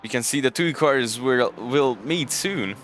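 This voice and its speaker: A man's voice: medium pitch, unhurried, fairly bright tone, a little hiss or hum. A man from Hungary with a Hungarian accent, casually commentating a sim racing stream and chatting with viewers. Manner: chatty, jokes and thanks chat viewers. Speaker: race commentator.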